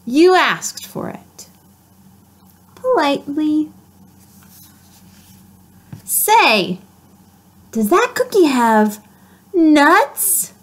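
A woman's voice: high-pitched, very animated, very slow, long pauses, heavily acted. A woman doing a whiny, wailing pigeon. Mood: indignant. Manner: whining, wailing complaints. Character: whiny, dramatic pigeon who always asks.

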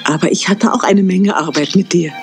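A woman's voice: lowish voice, moderate pace, music in the background, natural voice. A woman voicing a caring mother cat in a cartoon dub, speaking in a firm, protective way. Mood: tender. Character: caring motherly cat who raised a squirrel. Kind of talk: 1980s German cartoon dub.

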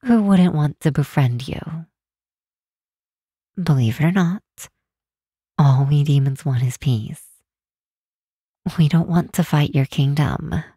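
A woman voicing a kind, protective demon queen in an ASMR comfort roleplay, speaking gently and reassuringly in a measured way, close to the microphone. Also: sits low, slightly rough, no echo, heavily acted. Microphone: close, dry microphone.

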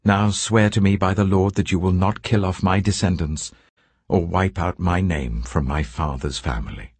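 A man's voice: low-pitched, moderate pace, breathy edge, dry recording. A man reading as a weeping, remorseful old king.

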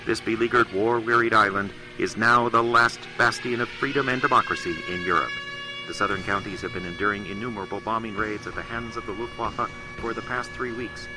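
An actor playing a grave wartime radio correspondent, announcing solemnly in a dramatic 1940s news style. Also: male voice, on the low side, close to an everyday voice, low-quality recording. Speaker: war correspondent.

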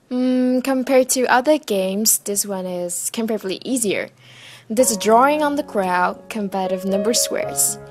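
A woman's voice: fairly high pitch, darkish tone. An eighteen-year-old woman student speaking earnestly and with light emphasis in a scripted interview. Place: indoors, in front of a colorful wall.

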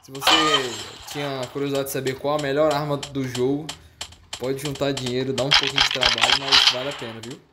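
A young adult man with a Brazilian Portuguese accent giving excited, slang-filled commentary while playing a mobile shooter. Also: mid-range voice, brisk, heavy background noise. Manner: excited, slangy, addresses viewers as a crowd of friends. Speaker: mobile gaming YouTuber. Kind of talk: casual gameplay commentary. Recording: loud game sound effects behind.

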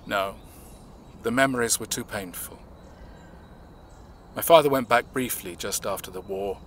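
A man acting in an audio drama, playing a reserved, measured man who recounts his family's past in a reflective way. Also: fairly low pitch, fairly bright tone, a little hiss or hum.

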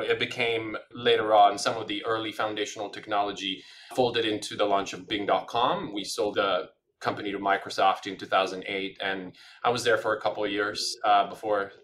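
A man's voice: on the low side, fairly bright tone, a little hiss or hum. A middle-aged man from Italy, a native Italian speaker, giving long, thoughtful interview answers full of fillers. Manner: thoughtful, discursive, many fillers. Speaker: podcast guest.

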